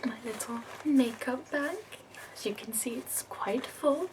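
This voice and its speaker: A woman in her twenties voicing a prim, fussy, upbeat woman makeup escort from the capital, in a soft whispered ASMR roleplay. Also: on the high side, measured pace, background noise, natural voice. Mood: prim, soothing.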